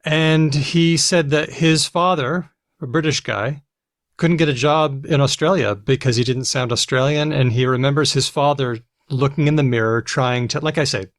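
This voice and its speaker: A man's voice: medium pitch, moderate pace, no echo, clean studio sound. A man with an American accent hosting a podcast, chatting casually and adding self-deprecating asides. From United States. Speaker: podcast host. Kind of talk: casual conversational chat.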